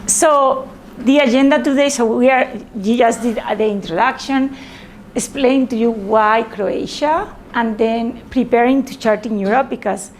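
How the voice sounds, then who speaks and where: High-pitched Spanish-accented woman presenting. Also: slight background noise. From Spain. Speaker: presenter, sailor. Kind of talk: informal presentation to a group.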